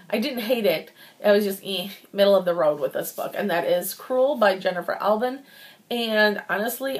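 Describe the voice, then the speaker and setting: Woman casually rambling through book reviews. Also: medium pitch. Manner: informal and rambling, thinking aloud, repeating words for emphasis.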